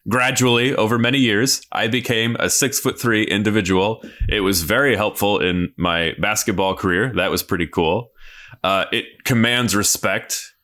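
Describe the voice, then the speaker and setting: A man with a deep voice co-hosting a comedy podcast, boasting in deadpan with crude jokes. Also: moderate pace. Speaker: podcast co-host. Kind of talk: comedic casual chat.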